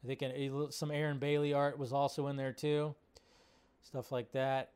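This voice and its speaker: A man's voice: medium pitch, level tone, moderate pace, darkish tone, clean tone. A man hosting a livestream, giving casual, enthusiastic and exclamatory reaction commentary in an informal way. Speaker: livestream host.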